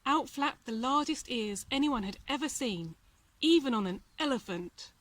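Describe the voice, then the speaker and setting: Woman reading a kids storybook out loud, highish voice, expressive narration. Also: moderate pace, no echo. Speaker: volunteer storybook reader.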